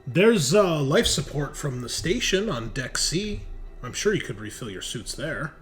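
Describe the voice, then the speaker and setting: A man with a lively, swinging delivery narrates a tabletop game as game master. He improvises casually and jokes with the players, over music. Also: fairly high pitch, a little slow.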